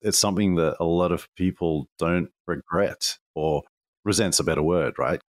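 A man hosting a podcast interview, asking measured, reflective questions. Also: low-pitched, no echo, studio quality.

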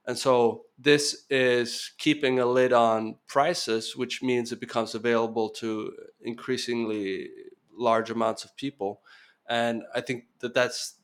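A man's voice: mid-range voice, fairly even intonation, unhurried. A man speaking as a podcast guest, explaining his views in casual talk with lots of fillers and restarts. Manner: earnest, explanatory, uses many fillers and restarts.

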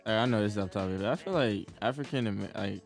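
A man's voice: lowish voice, quick pace, gravelly. An American man bantering casually with friends in a playful, slangy, informal way. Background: music playing in the background.

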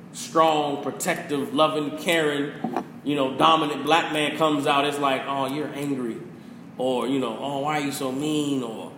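A man who rants passionately as a podcast host, preachy and full of profanity. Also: a little high. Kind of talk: casual opinion rant. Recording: echoey room with faint hiss.

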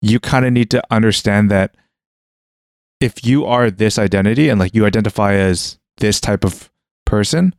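Low-voiced man thinking aloud with restarts. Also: normal speed, darkish tone, no echo, studio quality. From Western world (Canada). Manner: hesitant, many restarts and "like" fillers, thinking aloud. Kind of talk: casual conversation.

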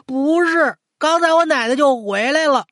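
A man's voice: very high voice, moderate pace, fairly bright tone, no echo, clean studio sound, voice pushed up. A man voicing a naive, stubborn eight-year-old grandson who pleads and whines in a told story. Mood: wailing protest.

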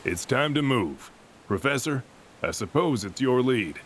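A man's voice: medium pitch, lively intonation, measured pace, background noise, natural voice. A man acting a stern, commanding military officer in an audio drama, giving clipped, authoritative orders.

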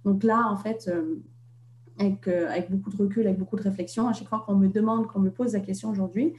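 A young woman from Vietnam, an author and interview guest, talking in a reflective way and often pausing on fillers and restarts. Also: medium pitch, steady tone, a little mellow, roomy sound, a little hiss or hum. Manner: hesitant, many fillers and restarts.

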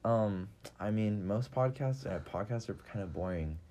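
Low-ish guy voice, comedy podcast cohost, stumbles over words, um uh everywhere, keeps cracking up. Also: normal speed, warm tone, slight background noise. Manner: hesitant, filler-heavy, giggly. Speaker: podcast co-host. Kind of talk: comedy sketch and casual podcast chat.

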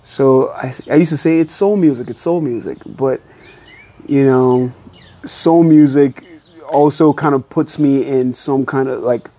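A man from Nigeria, a musician, chatting in a relaxed, hesitant way outdoors, often repeating words and saying "you know.". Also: medium pitch, a little slow. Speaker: musician guest. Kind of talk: casual interview chat.

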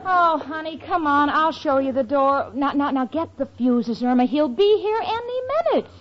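A woman's voice: high-pitched, animated, moderate pace. An actress playing a wry, long-suffering young woman in a 1940s radio sitcom. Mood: impatient, coaxing. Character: exasperated, wisecracking young female roommate. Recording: muffled old radio, studio audience, hiss.

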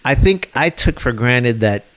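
A man from Chicago co-hosts a radio interview with enthusiasm. His voice sits at an average male pitch, and he often repeats his first words before a question. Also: lively intonation, unhurried, fairly bright tone. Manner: enthusiastic, repeats words when starting sentences. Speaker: radio co-host.

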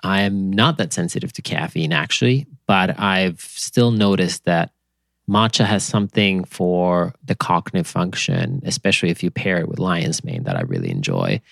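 A man from Finland with a Finnish accent, a company founder chatting casually as a podcast guest, relaxed and rambling with fillers. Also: deep voice, moderate pace, vocal fry, dry recording. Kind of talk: casual interview chat.

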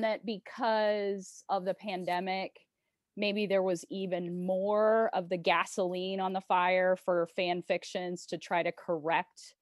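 Female podcast host, medium pitch. Conversational interviewer, very dry recording. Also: no room sound.

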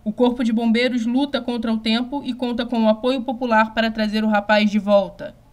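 A woman's voice: on the high side, steady tone, a little fast, natural voice. A woman playing a serious, composed news reporter reading a scripted bulletin with a Brazilian accent. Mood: formal, serious.

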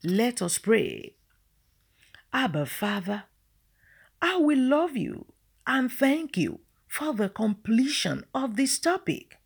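A woman's voice: on the high side, sing-song, slow pace, gravelly, breathy edge. A woman whose first language is Yoruba, hosting a daily devotional. She teaches, prays and signs off in an earnest way, calling her listeners friends. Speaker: devotional host.

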